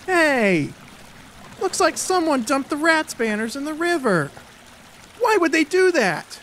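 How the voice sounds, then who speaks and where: Teenage boy played by an actor, devout, preachy, earnest, very animated intonation, dramatized audiobook. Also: high voice, slow pace, slight background noise, exaggerated voice. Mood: excited, urgent. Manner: preachy, emphatic.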